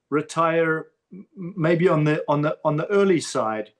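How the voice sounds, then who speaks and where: South African man, halting and repetitive. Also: a little high. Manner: halting, repeats words as he starts a thought. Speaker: podcast guest. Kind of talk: casual interview talk.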